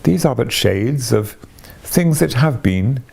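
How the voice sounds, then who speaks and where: A soft, gravelly ghost from a storybook , acted out by a man, gentle yet commanding. The speech is solemn and measured, with pitch that swoops expressively. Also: animated, exaggerated voice. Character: gentle but commanding ghost of Christmas past.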